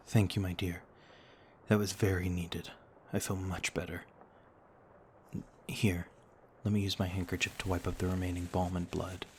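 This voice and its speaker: Male actor as courtly ancient vampire for ASMR roleplay: deep, restrained in pitch movement, gentle and reassuring. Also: slight background noise. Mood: tender, grateful. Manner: courtly, formal, soothing, reassuring. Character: gentle noble male vampire, over a thousand years old, looks thirty-two.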